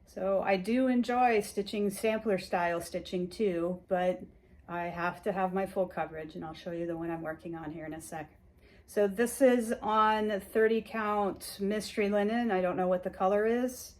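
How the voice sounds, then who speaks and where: A woman's voice: mid-range voice, moderate pace. A woman whose first language is Hungarian, casually showing her stitching projects in a rambling chat full of fillers and restarts. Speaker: cross-stitch vlogger. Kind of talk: casual show-and-tell chat.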